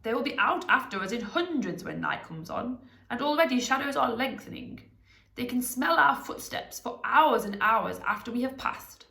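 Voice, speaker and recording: A woman's voice: a little high, lively intonation, normal speed, vocal fry, pushed and bright, echoey room, pitched above the performer's own voice, heavily acted. A woman voicing a clever, proud old male wizard in a storybook, speaking in an emphatic, commanding, confident way. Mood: brisk, urgent.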